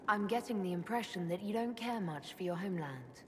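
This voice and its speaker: An actress playing a composed, inquisitive heroine in a video game, speaking in a measured, direct way. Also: female voice, medium pitch, animated, long reverb tail, a little hiss or hum, close to an everyday voice. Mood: calm, curious. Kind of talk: video game dialogue acting.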